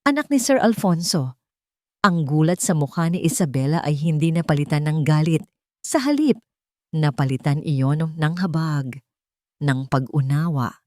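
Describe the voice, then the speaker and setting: A woman's voice: sits low, fairly even intonation, normal speed, dark tone, no echo, studio quality. A woman reading a scripted, dramatic love story as its narrator.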